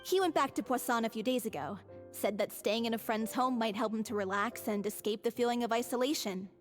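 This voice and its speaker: A woman voices a brisk, practical young woman with a high, clear voice. She sounds businesslike, with faint music behind her. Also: close to an everyday voice. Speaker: game character. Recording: game cutscene audio with faint music.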